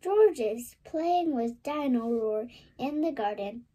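A woman reading a children's story aloud in an animated, expressive way for young listeners. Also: a little high, slow pace, rough recording. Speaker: storybook read-aloud host.

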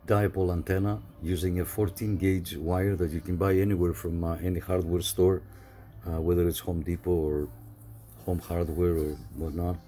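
A man hosting his own channel, casually explaining a homemade radio antenna in an easygoing, unhurried way with many fillers. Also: low-pitched. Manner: relaxed, explanatory, frequent "uh" fillers. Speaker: CB radio hobbyist host. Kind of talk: casual how-to narration.

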